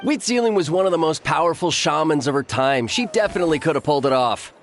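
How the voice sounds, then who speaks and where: A man's voice: a little high, animated, fast talker, slight background noise, close to an everyday voice. Man voicing a stubborn, insistent tribesman. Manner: insistent, dismissive. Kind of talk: video game voice acting.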